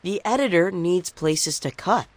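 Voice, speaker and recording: A man playing an earnest, nerdy teenage mutant turtle in an animated game, eager and matter-of-fact. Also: high-pitched, unhurried, dry recording. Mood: dry, advising.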